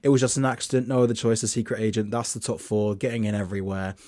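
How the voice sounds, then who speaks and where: A man's voice: on the low side, little pitch movement, fast talker, darkish tone, no echo, studio quality. A young man casually talking through his film award predictions, chatty and loose, with plenty of restarts, reading from his notes. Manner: reads his list off notes.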